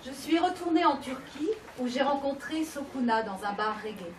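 A woman with a fairly high voice reads a text clearly. She is recorded from a distance in an echoey room, with clear background noise. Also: lively intonation, normal speed. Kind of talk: reading a text aloud. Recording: echoey shop from far off, chatter.

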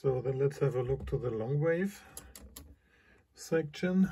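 A man giving a halting, explanatory hands-on commentary as a radio hobbyist demonstrating a receiver. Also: mid-range voice, expressive. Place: indoors beside a shortwave radio. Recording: clear radio static and hiss behind.

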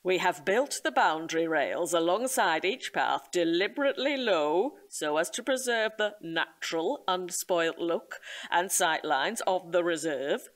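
An actress playing an earnest, solemn nature reserve guide, speaking in a measured, emphatic, formal way in an audio drama. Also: female voice, fairly low pitch, lively intonation. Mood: earnest, cautioning. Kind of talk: audio comedy drama acting.

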